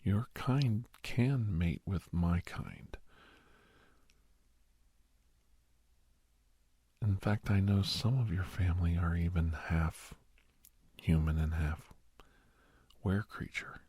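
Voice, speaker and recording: A man playing a kindly doctor in an ASMR roleplay, speaking in a hushed, breathy whisper with pauses. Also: sits low, no echo, exaggerated voice. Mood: gentle, frank. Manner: hushed, unhurried, with pauses and soft chuckles. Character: kindly doctor advising a young werewolf, old family friend.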